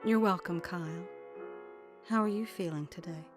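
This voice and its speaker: A woman playing a kind, trusting nurse in an audio drama, gentle and reassuring. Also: lowish voice, normal speed, music in the background, close to an everyday voice. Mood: kindly. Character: kind, trusting female nurse, new on ward.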